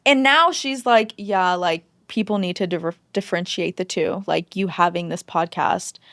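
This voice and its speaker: A woman's voice: fairly low pitch, normal speed, a bit bright, no room sound. A woman chatting casually and candidly as a podcast guest, often acting out remarks she quotes. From Southern United States. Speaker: podcast guest, former teacher.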